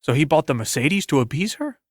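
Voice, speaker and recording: Quietly critical suburban father, read by a male narrator, understated, audiobook fiction. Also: on the high side, very animated, brisk, no echo, clean studio sound. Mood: dry, critical.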